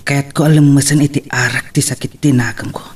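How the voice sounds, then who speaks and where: A man's voice: mid-range voice, fairly even intonation, brisk, breathy edge, faint music behind, natural voice. An actor playing a jealous, scheming rich young man, delivering brooding and menacing monologue in an audio drama. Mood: brooding menace. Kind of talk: Ilocano radio-style drama acting.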